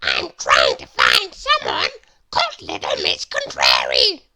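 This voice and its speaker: Woman putting on a very deep, loud little man, Mister Small. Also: wildly swooping pitch, vocal fry, exaggerated voice. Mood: confused, flustered. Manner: polite, puzzled, halting.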